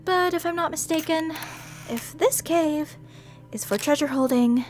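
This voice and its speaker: A woman's voice: high-pitched, a little slow, faint music behind. A woman voicing a sweet, bookish curator. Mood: explaining. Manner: eager, explaining, gushing with excitement. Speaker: voice actor. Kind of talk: audio roleplay.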